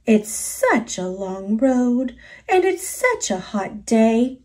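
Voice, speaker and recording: Woman doing a high, breezy little bug. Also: very animated, takes it slowly, a little mellow. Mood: coaxing. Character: small friendly bug, a breezy hitchhiker.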